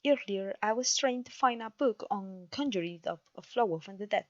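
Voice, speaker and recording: A woman's voice: medium pitch, normal speed, dry recording, dull audio, natural voice. A woman with a Mexican accent playing a clumsy, cheerful witch teacher in an ASMR roleplay, theatrical and genteel in her encouragement. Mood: welcoming, instructive. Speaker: voice actor.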